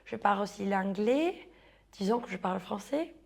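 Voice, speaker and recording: A woman's voice: medium pitch, animated, moderate pace, creaky. Colombian woman, hesitant. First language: Spanish. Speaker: guest. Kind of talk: casual interview.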